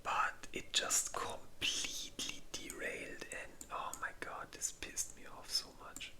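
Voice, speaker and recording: An ASMR creator whispering in a breathy, unvoiced voice, chatting informally and thinking aloud with some hesitation. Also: a little slow. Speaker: ASMR video creator and host. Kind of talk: whispered ASMR, casual rambling chat.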